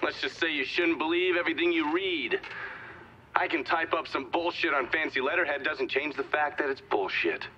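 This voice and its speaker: An actor playing a defiant former operative testifying in a video game, wry, evasive and contemptuous, with crude language. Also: male voice, fairly high pitch, moderate pace, natural voice. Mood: defiant, scornful. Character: defiant, foul-mouthed male ex-operative testifying. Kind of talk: testimony, game cutscene acting. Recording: dull lo-fi sound, faint noise.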